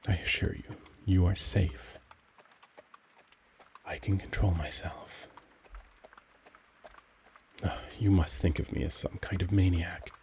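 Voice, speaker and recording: A man playing a gentle, cultured young vampire in an intimate ASMR roleplay, speaking formally and tenderly as he struggles for control. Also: low voice, steady tone, slow, long pauses, slight background noise. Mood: apologetic, reassuring. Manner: formal, courtly, tender, brooding.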